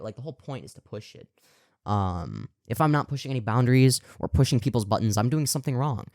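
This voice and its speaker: A man's voice: medium pitch, a little mellow, no room sound, studio quality. A young man co-hosting a podcast in casual chat, rambling and contrarian, swearing freely with lots of fillers and restarts. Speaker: podcast co-host.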